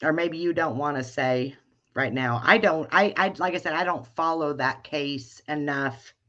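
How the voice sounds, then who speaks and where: A woman with a deep voice hosting a casual live chat, talking hesitantly with many restarts. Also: moderate pace, fairly bright tone, dull audio. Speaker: livestream host.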